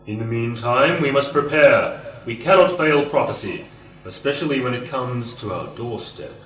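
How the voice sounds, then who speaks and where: A man's voice: mid-range voice, animated, normal speed, fairly bright tone, soft background music, natural voice. Man playing a solemn prophet, distant and echoing. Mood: portentous. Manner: solemn, portentous, formal. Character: solemn male cult prophet, portentous and patient. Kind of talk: amateur radio play villain acting. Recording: echoey, distant recording.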